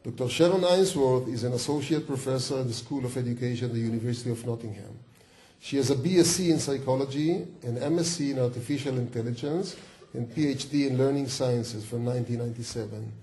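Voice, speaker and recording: A man's voice: mid-range voice, warm tone. A man introducing a keynote speaker at a university event. He stops and restarts often and corrects himself as he reads out her bio. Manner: halting, with many false starts and self-corrections. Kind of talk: hesitant spoken introduction, reading a bio. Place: lecture hall at a university event.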